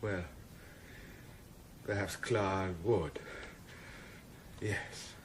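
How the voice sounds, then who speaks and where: Sullen crook in a radio play, low and really slow, grudging. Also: male voice, steady tone, a little hiss or hum. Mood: wary, grudging. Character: sullen, wary male gangster under police pressure. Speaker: actor in a radio drama. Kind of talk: 1990s radio drama acting.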